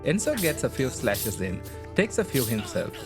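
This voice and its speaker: A man's voice: mid-range voice, sing-song, normal speed. A man whose first language is not English, casually retelling a game's story as a video narrator. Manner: chatty, informal retelling. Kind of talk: casual game story recap. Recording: voice-over with loud game music underneath.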